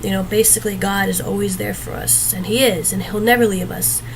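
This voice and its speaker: Young woman, slightly sick voice, earnest rambling vlog talk, average pitch, noisy background. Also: steady tone, fairly quick. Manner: earnest, rambling with many fillers and restarts. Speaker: vlogger. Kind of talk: casual advice talk to camera. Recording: noticeable background noise.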